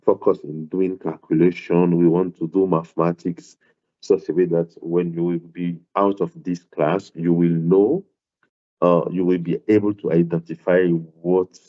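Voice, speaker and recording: A man with a very low voice and a non-native accent lectures an online maths class. He talks hesitantly, repeating words and filling pauses as he goes. The sound is dull and muffled, like a laptop mic on a video call. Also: little pitch movement. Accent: non-South African, foreign to English. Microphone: computer mic on a video call.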